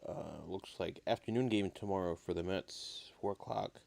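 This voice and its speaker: A man with a very low voice and a gravelly creak hosts a casual live baseball stream. He sounds a little raspy, as if recovering from an illness. His play-by-play is low-key and unhurried. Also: darkish tone. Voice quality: slightly raspy. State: getting over an illness, coughing.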